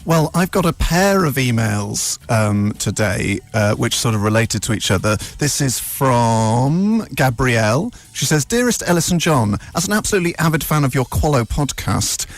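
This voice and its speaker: A man's voice: mid-range voice, animated, moderate pace, few pauses, dry recording. A man co-hosting a comic radio show in a studio, teasing and quizzing his partner in a mock-grand presenter tone. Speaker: radio co-host.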